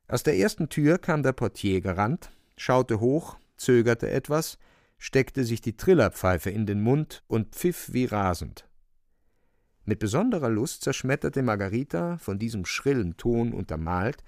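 A man's voice: mid-range voice, vocal fry, no echo, clean studio sound. A man narrating a literary radio drama in a dramatic, scripted storytelling style. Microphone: close, dry studio microphone.

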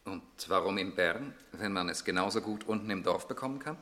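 An actor playing a sceptical, argumentative stepson in a 1960s German radio play, questioning in a pointed, challenging way. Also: male voice, sits low, brisk, pushed and bright.

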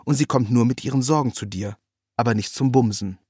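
A man's voice: medium pitch, fairly quick, a little mellow, breathy edge, no echo, studio quality, natural voice. Man reading as self-assured thirty-plus dating-course devotee. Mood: knowing. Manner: lecturing, matter-of-fact, peppered with English jargon. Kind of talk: quoted dialogue in a read story.

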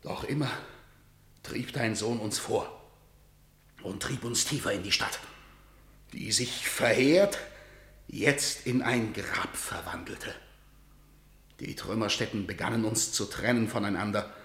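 An actor playing a grim messenger of defeat in a radio drama, declaiming solemn stage verse with a rhetorical manner. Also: male voice, fairly low pitch, fairly bright tone, echoey room. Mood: grief-stricken, subdued. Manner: solemn, rhetorical, measured delivery. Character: grim male battle messenger bearing news of catastrophic defeat.

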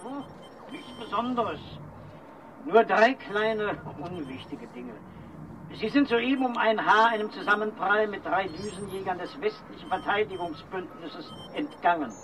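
A dry, formal radio operator. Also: male voice, high voice, a little slow, recorded from a distance, noisy background.

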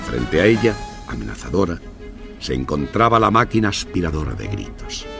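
A man narrating a children's story in an expressive, theatrical scripted style. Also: very deep voice, normal speed, gravelly, over music.

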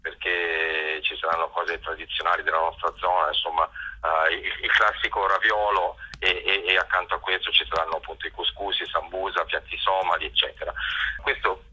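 A man's voice: low voice, flat delivery, pushed and bright, husky, dull audio. A man presenting an event as an interview guest, often drawing out words as he searches for the next one. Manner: halting, searching for words with drawn-out words. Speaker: festival organizer and interview guest.

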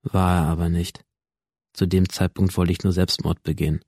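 A withdrawn, bitter young man in a radio drama, telling his story in a low, mellow voice. He is understated and matter-of-fact, with a slightly husky edge and a close, dry sound. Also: flat delivery, normal speed, dry recording. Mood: matter-of-fact, guarded. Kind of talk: radio drama, introspective first-person narration.

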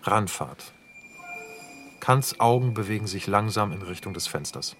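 A man narrating the scene directions of a radio drama in a measured, factual, neutral manner. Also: lowish voice, expressive, a bit bright, a little hiss or hum. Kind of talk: scripted narration of stage directions.

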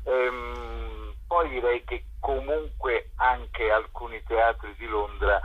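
Man, husky voice, slow hesitant delivery, searching for words, interviewed curator, telephone. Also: medium pitch, fairly even intonation. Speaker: theatre festival curator being interviewed. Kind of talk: spontaneous interview answers. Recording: dull lo-fi telephone line.

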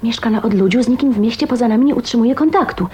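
Spirited young woman played by an actress, high-voiced. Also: rapid-fire, dark tone, lightly acted. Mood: curious, puzzled. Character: kind, spirited 29-year-old woman, dreamy and plain-spoken. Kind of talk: Polish radio drama acting.

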